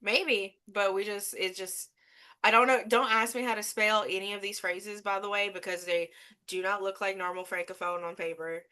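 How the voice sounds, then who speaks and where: A woman from Louisiana with a Louisiana accent, chatting casually as co-host, animated and laughing often, with plenty of swearing. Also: medium pitch, normal speed, clear, resonant tone. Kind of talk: casual chat.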